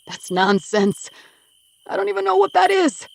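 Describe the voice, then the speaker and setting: A woman voicing a panicked older captive father who shouts and pleads, denying everything. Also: very high voice, extremely slow, slight background noise, pitched above the performer's own voice, full character voice. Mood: frantic denial. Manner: desperate, shouting, protesting.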